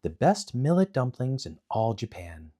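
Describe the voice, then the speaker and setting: A man's voice: medium pitch, big pitch swings, a little slow, warm tone, voice pushed up. Man voicing Momotaro, a firm young hero. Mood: steady. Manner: plain, firm replies.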